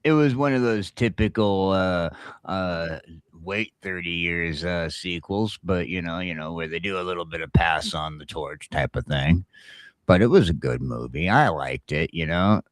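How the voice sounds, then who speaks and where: Deep-voiced man in casual talk, dry sound. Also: normal speed, no echo. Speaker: talk show co-host. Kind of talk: casual chat.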